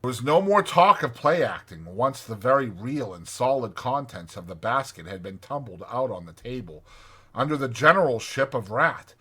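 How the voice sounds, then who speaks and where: Animated man narrating a storybook. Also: mid-range voice, normal speed, breathy edge. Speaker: audiobook narrator. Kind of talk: expressive scripted storybook narration.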